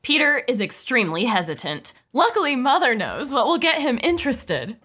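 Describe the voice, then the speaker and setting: A woman's voice: high-pitched, big pitch swings, normal speed, pushed and bright. A woman reading stage directions as narrator of a comedy table read.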